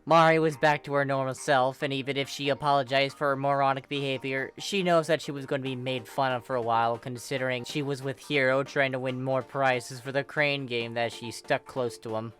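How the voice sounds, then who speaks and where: An expressive reader narrating a comedic fan fiction story in an informal, wry and dramatic way. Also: mid-range voice, brisk, few pauses. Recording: background music under the voice. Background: video game style music.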